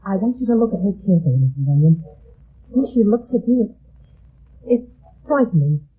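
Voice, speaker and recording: A woman's voice: mid-range voice, animated, fairly quick, breathy edge. An actress playing an anxious, earnest young woman pleading for help in an old radio mystery. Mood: uneasy. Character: anxious, earnest young woman seeking her missing brother. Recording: tinny, narrow-band old radio recording.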